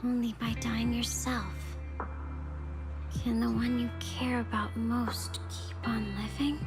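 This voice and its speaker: A woman's voice: a little high, fairly even intonation, slow, music in the background. An actress playing an uneasy young woman in a fantasy game, in dramatic voice acting.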